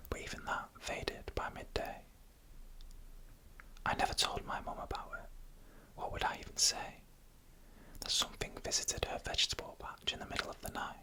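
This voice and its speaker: A person narrating eerie stories as ASMR in a hushed, deliberate whisper, close to the microphone. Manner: slow, hushed, deliberate storytelling in an unvoiced whisper. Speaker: ASMR storyteller.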